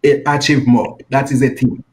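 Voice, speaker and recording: A man's voice: medium pitch, steady tone, moderate pace, a little mellow, poor audio. A man from Haiti with a Haitian French accent and French as his first language, a financial advisor answering interview questions earnestly in simple phrases.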